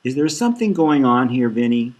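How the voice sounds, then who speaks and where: A man voicing a smooth, probing bull-man doctor in an audiobook, asking calm questions. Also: medium pitch, a little fast.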